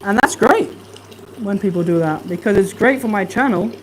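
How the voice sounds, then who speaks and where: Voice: mid-range voice, lively intonation, normal speed, very noisy. Easygoing young fishkeeping streamer. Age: young adult. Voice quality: soft, relaxing voice. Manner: easygoing, rambling, many fillers. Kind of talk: casual livestream chat while cleaning tanks. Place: home fish room.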